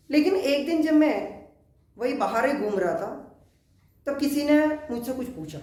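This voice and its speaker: A man from Kerala, teaching a spoken English grammar lesson in a slow, deliberate way with many English terms. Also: very high-pitched, darkish tone. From Kerala, India. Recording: echoey room.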